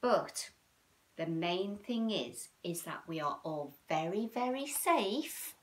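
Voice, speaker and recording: Children's storytime host: British woman, 50s, very varied pitch, chatty, sing-song, child-directed delivery. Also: slow pace. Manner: animated, sing-song, addressing children with frequent tag questions. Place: small room at home, indoors.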